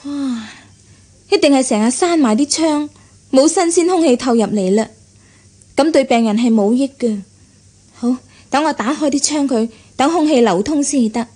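An actress playing a young, modest and earnest private nurse in a radio drama. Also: female voice, sits high, normal speed, warm tone, slightly rough, faint music behind. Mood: brisk, curious. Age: young adult. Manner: earnest, deferential. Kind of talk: Cantonese radio drama acting.

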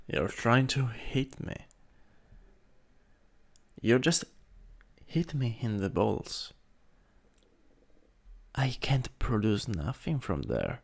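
A man with an Italian accent voicing a cruel, mocking vampire master in an improvised ASMR roleplay, breathy and menacing, close to the microphone. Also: mid-range voice, sing-song, exaggerated voice. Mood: scornful. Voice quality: breathy, husky, gravelly. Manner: menacing, drawn-out, condescending. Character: cruel, mocking male vampire master taunting his servant. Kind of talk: improvised ASMR roleplay, slow and partly whispered. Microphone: close USB microphone.

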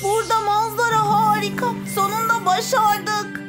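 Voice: extremely high pitch, level tone, normal speed. An actor voicing a small, cautious, know-it-all young digger in a children's cartoon, with music behind. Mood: delighted.

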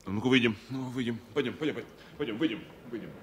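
An actor playing a proud, indignant engineer and jealous lover in a Soviet radio drama. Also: male voice, medium pitch, fast, fairly bright tone, slightly rough. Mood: indignant, heated. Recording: noisy old radio play recording.

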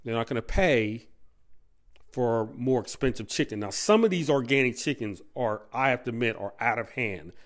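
A man hosting a video, giving unscripted commentary in a halting way, repeating and stressing his points. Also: medium pitch, animated. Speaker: video host.

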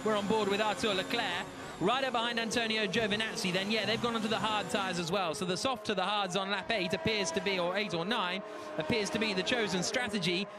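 Male motorsport commentator, notably high voice, calling live race action over loud engine noise. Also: steady tone, a little fast. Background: racing car engines.

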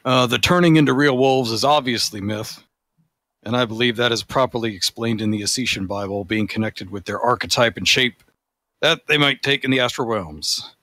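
Man putting on a pompous, bright-voiced vampire. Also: medium pitch, normal speed, no room sound, close to an everyday voice. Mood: haughty. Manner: pompous, formal. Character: pompous self-styled vampire forum poster, haughty and pedantic. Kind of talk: dramatic reading of forum posts.

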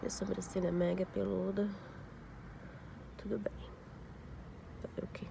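A young woman vlogging casually to her phone camera, congested with a flu and a scratchy throat, explaining her skin recovery slowly. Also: mid-range voice, level tone, gravelly. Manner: talks slowly through skin recovery, showing details. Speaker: beauty vlogger. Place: inside a parked car, later a home sofa. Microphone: phone camera at arm's length.

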